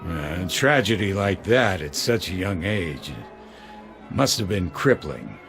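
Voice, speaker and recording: A man's voice: sits low, very animated, a little slow, creaky, music in the background, heavily acted. An actor playing a cranky, blunt older American man in a video game. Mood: solemn. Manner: blunt, grumbling. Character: cranky blunt older male city donor. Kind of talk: video game character acting.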